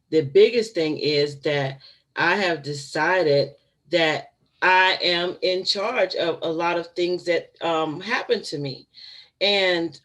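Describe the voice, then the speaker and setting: A woman in her fifties hosting a casual livestream from home, chatty and given to laughing asides to her viewers. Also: low-pitched. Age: 50s. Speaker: channel host.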